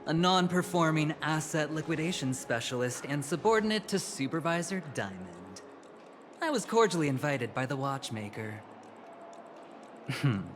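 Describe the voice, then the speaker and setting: A man voicing a smug, suave young corporate executive in a video game, theatrical and mock-humble. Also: a little high. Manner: smooth, mock-humble, condescending.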